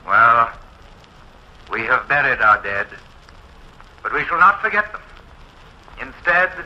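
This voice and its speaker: An actor playing a solemn, resolute English village vicar, declaiming with measured weight in 1940s dramatic style. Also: male voice, a little high. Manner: measured, declamatory, rising to fervour. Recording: muffled, hissy 1940s radio recording.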